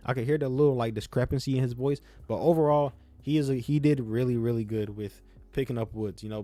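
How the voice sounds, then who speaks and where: A man's voice: mid-range voice, warm tone. A young man with a slight speech impediment, giving casual, opinionated game commentary in a rambling, slangy way, repeating phrases for emphasis. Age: young adult. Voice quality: self-described speech impediment. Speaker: YouTube gaming content creator.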